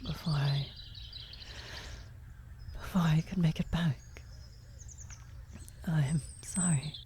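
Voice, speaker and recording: Soft, low, halting vampire woman acted out by a woman. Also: steady tone, slight background noise. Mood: apologetic, tender. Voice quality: soft, breathy, often slipping into whisper. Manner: halting, hesitant, gentle. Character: worried female vampire blood sorcerer, tender, guilty lover. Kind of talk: ASMR fantasy roleplay. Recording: outdoor birdsong and ambience behind. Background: birdsong, nature ambience effects.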